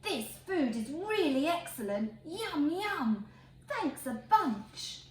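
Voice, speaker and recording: A woman's voice: high-pitched, big pitch swings, slow, voice pushed up, heavily acted. A woman voicing a polite, blissfully pleased pig from a storybook, with gushing delight. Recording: roomy echo, faint background noise.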